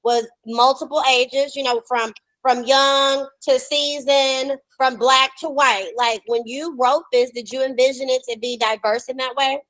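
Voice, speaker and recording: A woman in her thirties hosting a casual interview on a video call, talking in an expressive, colloquial way. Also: sits high, unhurried, few pauses, no echo, poor audio. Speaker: podcast host.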